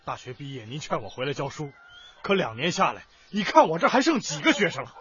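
Young male schoolteacher, exasperated and sincere. High, very animated voice on a dull radio drama recording. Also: fast, slightly rough, full character voice. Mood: frustrated. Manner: earnest, emotive, exasperated. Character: earnest, frustrated young village schoolteacher. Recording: dull lo-fi recording, faint background noise.

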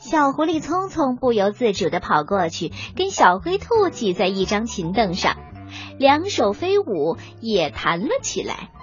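Woman storyteller, animated intonation, fairly high voice, expressive children's narration, music behind, slightly muffled sound. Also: unhurried.

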